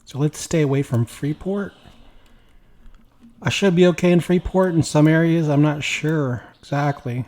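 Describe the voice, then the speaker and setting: A man with a slightly raspy, weak voice, tired after work, chatting casually while playing a game. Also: on the high side, normal speed. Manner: rambling, relaxed, talks while playing. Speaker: gaming YouTuber. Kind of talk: casual gameplay commentary.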